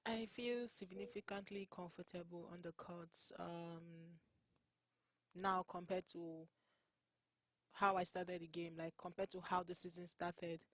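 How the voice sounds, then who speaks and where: A woman's voice: lowish voice, steady tone, a bit bright, dry recording. Young Nigerian woman, dry close sound. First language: Igbo. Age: young adult. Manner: earnest, unhurried, laughs often. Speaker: college basketball player. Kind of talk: interview answers.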